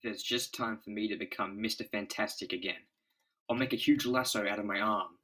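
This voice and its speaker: A man's voice: lowish voice, fairly even intonation, a little fast, noisy background, close to an everyday voice. A man voicing an earnest, commanding superhero leader in a declamatory comic-book drama style. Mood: resolute.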